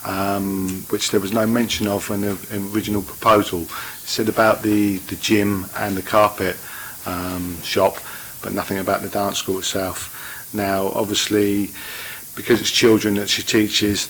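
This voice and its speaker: A man's voice: fairly low pitch, level tone, measured pace, a bit bright, a little hiss or hum, poor audio. A man speaking from the floor at a council meeting, plain-spoken and hesitant, with many fillers. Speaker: member of the public addressing a council meeting. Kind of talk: public comment.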